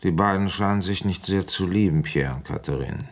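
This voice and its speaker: A deep male voice as a village police inspector in a radio drama. He is dogged and probing, putting terse questions in a methodical, orderly way. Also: brisk. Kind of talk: German radio play acting.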